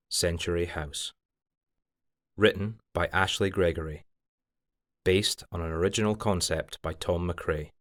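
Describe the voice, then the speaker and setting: A man with a low-pitched voice serves as announcer, reading scripted credits. He goes through them in a formal, list-like read.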